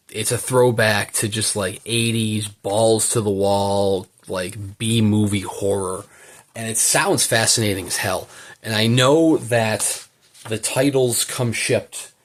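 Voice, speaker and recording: A man giving a casual unboxing commentary, enthusiastic and rambling as he thinks aloud. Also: on the low side. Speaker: book collector presenting an unboxing.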